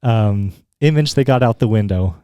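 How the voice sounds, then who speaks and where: A young man from Utah, a guest in a casual chat, easygoing and agreeable, often pausing with fillers. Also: fairly low pitch, warm tone, clear, resonant tone, dry recording.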